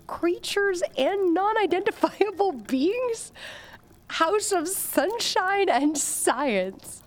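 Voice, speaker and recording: An actress playing a soothing, whimsical woman in an audio drama, unhurried and gently teasing. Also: high-pitched.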